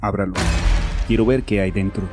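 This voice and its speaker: Guy playing bitter haunted man confessing in a radio play, lowish voice, insistent n scornful, music behind. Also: fairly even intonation, normal speed, natural voice. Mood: alarmed, suspicious. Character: bitter, anguished 28-year-old man confessing to a therapist. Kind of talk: audio drama acting. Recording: music under the voice.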